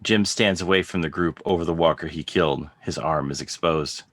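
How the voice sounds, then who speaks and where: Very deep-voiced male narrator. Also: normal speed, a bit bright. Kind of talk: scripted narration. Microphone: video call. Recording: video call under clear music.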